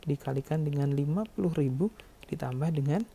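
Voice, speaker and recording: A man's voice: fairly high pitch, fairly quick, vocal fry, mellow, slight background noise. A man explaining worked calculations step by step as a lecturer, often adding small check-in words. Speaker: accounting lecturer.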